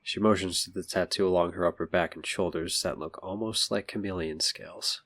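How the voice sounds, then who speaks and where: A man's voice: low-pitched, fairly even intonation, fairly quick, fairly bright tone. A man delivering scripted narration as the narrator of an audio drama.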